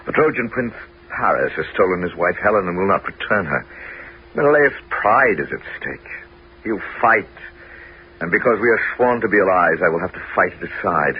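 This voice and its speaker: A man's voice: deep voice, expressive, normal speed, a little hiss or hum. A man voicing Ulysses, a crafty heroic king, in a theatrical 1970s radio drama style. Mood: grave. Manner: theatrical, declamatory. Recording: dull lo-fi 1970s radio broadcast.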